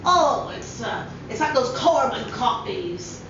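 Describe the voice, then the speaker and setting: Woman acting proud old gentleman, very echoey. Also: fairly high pitch, animated, slow. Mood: musing. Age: 80s. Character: proud, chatty 82-year-old widower, gentlemanly. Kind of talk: dramatic short-story reading. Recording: roomy echo, distant mic, dull hissy sound.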